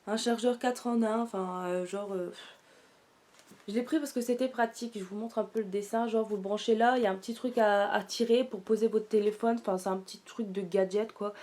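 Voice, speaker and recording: A woman's voice: mid-range voice, fairly even intonation, a little fast, a little mellow. A young woman doing a casual shopping haul, out of breath and congested from a sore throat, rambling with lots of fillers. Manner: chatty, rambling, with many fillers and self-corrections. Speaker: haul vlogger.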